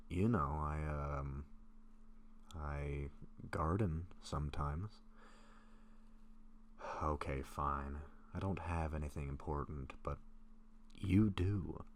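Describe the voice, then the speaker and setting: A man acting a gentle, bashful, sick vampire in an intimate roleplay audio, speaking softly and haltingly to a close friend. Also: a bass voice, full character voice. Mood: sheepish. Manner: soft-spoken, hesitant, halting with pauses.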